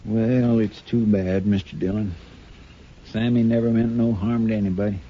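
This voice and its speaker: A man's voice: lowish voice, fairly even intonation, normal speed, close to an everyday voice. Earnest frontier sidekick with a man acting. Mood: sorrowful. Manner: deferential, plain-spoken. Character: earnest, simple, loyal frontier marshal's helper. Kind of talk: 1950s radio western acting. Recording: dull old radio broadcast, music behind.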